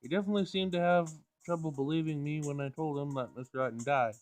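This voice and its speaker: Higher-pitched man reading game text aloud. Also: fast talker, soft background music. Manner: stumbles over words and rereads lines. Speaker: player reading a visual novel aloud. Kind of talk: Let's Play read-aloud of game text.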